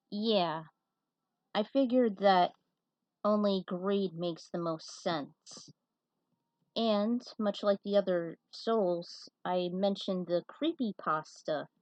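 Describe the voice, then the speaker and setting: A woman's voice: medium pitch, no echo. A woman casually commenting on and reading out her own fan fiction, opinionated and blunt, with some swearing. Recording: dull, muffled lo-fi recording.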